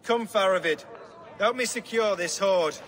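An actor playing a blunt, commanding, hot-tempered medieval lord in a video game. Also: male voice, high voice, a little slow, a bit bright, in a large hall. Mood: gruff, formal. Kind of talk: dramatic game cutscene acting.

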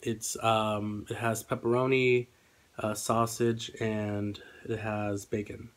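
Young man with flat, congested voice. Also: on the low side, slow pace. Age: young adult. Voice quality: slightly congested. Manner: hesitant, rambling. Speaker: vlogger. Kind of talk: casual eating vlog chat. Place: small room indoors at home.

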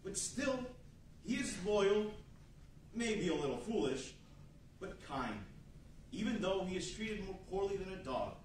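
A theatrical 1940s radio storyteller, acted by a man addresses the room with wry flair. His voice is high and animated. It is very echoey and far from the mic. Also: unhurried, long pauses, a little hiss or hum. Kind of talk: 1940s radio narration on stage. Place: large indoor meeting room with seated audience. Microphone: far camera.

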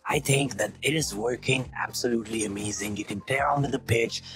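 A man's voice: medium pitch, brisk, a bit bright, soft background music, pitched below the performer's own voice. A man using an AI voice changer to play a whispery, sinister male horror clown. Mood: creepy.

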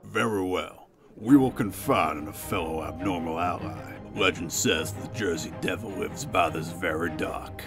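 Man as a deep, creaky sideshow strongman. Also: measured pace. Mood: solemn. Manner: solemn, formal. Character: grave, polite tattooed sideshow strongman. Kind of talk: comic dub voice acting. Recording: music clearly behind.

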